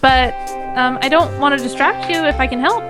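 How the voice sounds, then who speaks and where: A woman's voice: high-pitched, normal speed, natural voice. A woman voicing an eager, apologetic female computer program in a visual novel, chatty and a little hesitant. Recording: music playing clearly behind.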